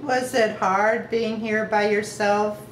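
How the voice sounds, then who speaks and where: A woman's voice: medium pitch, fairly even intonation, takes it slowly. A woman interviewing an elderly man at home for an oral history, asking plain, prompting questions. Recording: echoey room with faint hiss.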